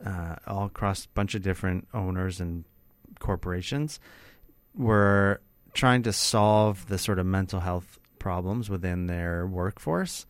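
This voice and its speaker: Middle-aged male, low register, dry close recording, thoughtful and sincere conversational delivery. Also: unhurried, clear, resonant tone, dry recording. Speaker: workshop facilitator and speaker, interviewing. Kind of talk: casual conversational interview.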